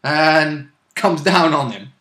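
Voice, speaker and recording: Male tabletop player, slightly high sharp voice, casual recap in an echoey room. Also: animated, slow pace. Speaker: player recapping a role-play session. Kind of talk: casual chat, chiming in. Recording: roomy, echoing home recording.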